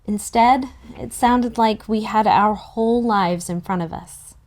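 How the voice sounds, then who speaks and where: A woman reading a first-person novel aloud in an expressive, youthful storytelling manner. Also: medium pitch, a little slow. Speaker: narrator in a table read.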